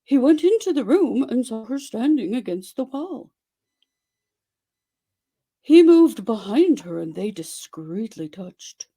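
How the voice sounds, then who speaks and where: A woman giving a theatrical dramatic reading of a story, with exaggerated delivery and dramatic pauses. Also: a little high, sing-song, mellow. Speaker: dramatic reader.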